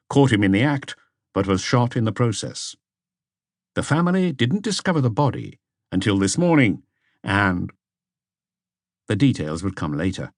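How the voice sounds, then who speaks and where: A man's voice: fairly low pitch, no room sound, studio quality. A man reading a detective story aloud as scripted audiobook narration.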